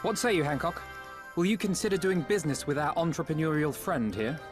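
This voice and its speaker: An actor playing an inquisitive, articulate adventurer in a fantasy game, with formal, bookish phrasing. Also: male voice, fairly high pitch, fairly quick, natural voice. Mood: courteous. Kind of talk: video game cutscene acting. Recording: background music clearly audible.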